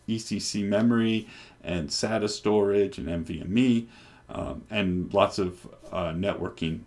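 Deep-voiced guy in his 40s on a headset, chatting loosely thru an install demo. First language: English. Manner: relaxed, rambling, many ums and restarts. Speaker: developer presenting hardware. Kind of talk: casual screen-recorded tech demo. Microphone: headset microphone.

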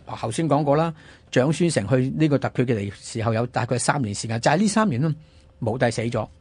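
Animated Hong Kong man, slightly husky. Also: medium pitch, a little fast, no echo. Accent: Hong Kong Cantonese. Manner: animated, asks rhetorical questions. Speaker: radio history programme host. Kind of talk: conversational history storytelling. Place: radio studio.

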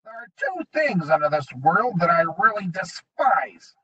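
A man doing a high, snarling goblin thug. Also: big pitch swings, measured pace, pushed and bright, husky, heavily acted. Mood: menacing. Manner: high, snarling, menacing taunts. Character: sneering male goblin gang leader, bullying. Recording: dull lo-fi recording.

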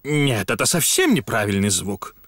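A man's voice: on the low side, sing-song, normal speed, fairly bright tone, no room sound. A man narrating a children's cartoon from a script.

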